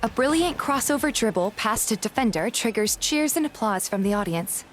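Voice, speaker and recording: A woman's voice: a little high, expressive, moderate pace. A woman reading scripted first-person story narration, like an audio drama. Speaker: voice actor narrating and playing the heroine of a fan dub. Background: music.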